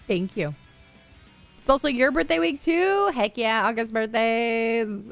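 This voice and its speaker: A woman's voice: fairly high pitch, creaky. A woman in her thirties streaming a game live, chatting casually with her viewers and laughing often. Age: 30s. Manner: chatty and upbeat, laughs often, lots of "like" and "you know".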